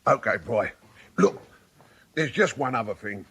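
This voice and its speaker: A man's voice: fairly low pitch, lively intonation, unhurried, fairly bright tone, breathy edge, heavily acted. A man playing a big, volatile but sentimental prison inmate in a comic radio play, speaking with childlike earnestness. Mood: earnest, hesitant.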